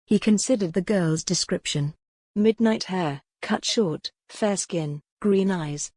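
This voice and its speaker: A woman's voice: on the low side, mellow, no room sound. A woman reading a scripted work of fiction as an audiobook narrator.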